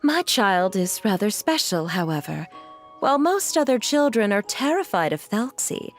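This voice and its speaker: A woman playing a gentle, genteel mother and employer in a video game, speaking politely and in measured phrases over music. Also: mid-range voice, sing-song. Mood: wistful, explanatory. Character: refined, gentle, courteous mother and wealthy employer.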